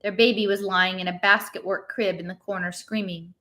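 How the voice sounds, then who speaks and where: Bright-voiced woman reading a story. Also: medium pitch, steady tone, brisk. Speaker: reader of a novel aloud. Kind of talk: expressive scripted storybook narration.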